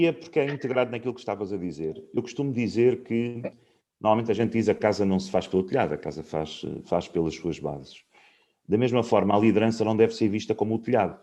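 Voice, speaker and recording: A man's voice: fairly low pitch, mellow. A man in his fifties hosting a casual conversation from home, explaining his views at length with many fillers. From Portugal. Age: 50s. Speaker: host.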